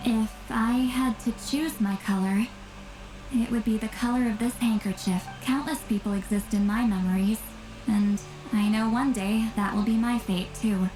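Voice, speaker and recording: A woman's voice: fairly high pitch, fairly even intonation, moderate pace, a little mellow, natural voice. A woman voicing an aloof, reclusive, youthful but centuries-old shaman in a video game, dry, reserved and wistful. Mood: solemn, tender. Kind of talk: scripted video game voice acting. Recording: roomy echo, game music behind.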